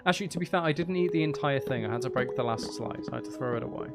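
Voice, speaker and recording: A man's voice: medium pitch, racing, over loud music. A man hosting a casual gaming livestream, rambling and thinking aloud with playful, mock-dramatic asides. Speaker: livestream host.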